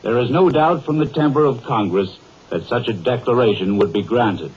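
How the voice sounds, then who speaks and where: A man reading formal radio news in a measured, steady delivery. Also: mid-range voice, slightly rough. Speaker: news correspondent. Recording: lo-fi 1940s radio broadcast.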